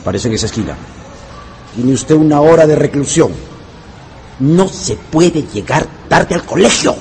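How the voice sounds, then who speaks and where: Stern, animated male schoolteacher. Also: fairly high pitch, measured pace, slight background noise. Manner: authoritarian, formal address to pupils. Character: stern, formal schoolteacher, adult man, quick to scold. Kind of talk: Spanish-language radio drama acting.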